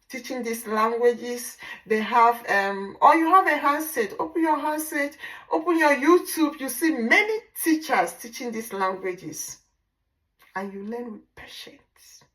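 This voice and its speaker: Nigerian woman, igbo mother tongue, fairly high voice, bright and forceful, casual teaching vlog, slow repetitive drilling. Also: animated, low-quality recording. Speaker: language teacher.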